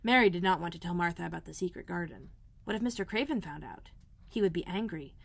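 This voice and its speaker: A woman narrating a children's storybook as a read-aloud host, in a measured, expressive storytelling manner. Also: fairly low pitch, vocal fry. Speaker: elementary school librarian, host.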